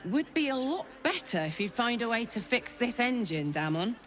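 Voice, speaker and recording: A woman's voice: fairly high pitch, sing-song, normal speed, a bit bright. A woman playing a tough, blunt warrior who hides her frustration, in a video game. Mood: frustrated, blunt. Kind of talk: video game dramatic acting.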